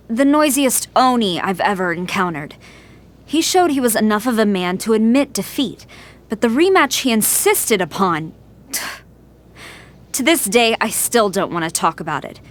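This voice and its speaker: Woman doing a stern loyal army general lady, game voice lines, formal n measured, earnest, music in the back. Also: medium pitch, natural voice. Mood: irritated. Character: stern, loyal, stone-faced female military general. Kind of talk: scripted game character voice lines. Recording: music behind the voice.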